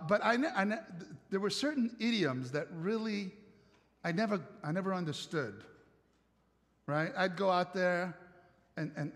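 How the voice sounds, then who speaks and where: An Italian-accented pastor in middle age gives a sermon from the stage of a church sanctuary. His voice sits high, and his delivery is conversational, full of rhetorical questions and light jokes. The sound trails off in a long church reverb. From Italy.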